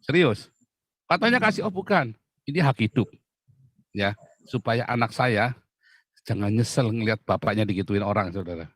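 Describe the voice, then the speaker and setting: A man's voice: fairly low pitch, animated, normal speed, long pauses, dry recording. A middle-aged man from Indonesia, a pastor hosting an outspoken talk, speaking bluntly and emphatically and laughing often. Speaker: pastor and host.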